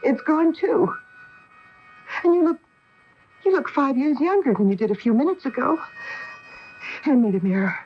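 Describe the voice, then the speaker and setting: Through a dull, slightly hissy radio recording comes a protective astronaut wife. Her voice sits fairly high and swings expressively, with the earnest drama of a science fiction radio play. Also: lively intonation, moderate pace, pauses often. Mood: astonished, urgent. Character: alarmed, questioning female astronaut wife, cautious and protective. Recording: noisy, muffled AM radio broadcast recording.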